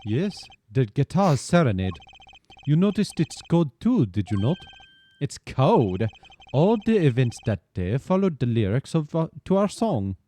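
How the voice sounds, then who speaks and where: A man voicing a suave young male rock-star prosecutor with a smooth, theatrical delivery. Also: mid-range voice, big pitch swings, a little slow, mellow. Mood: smug.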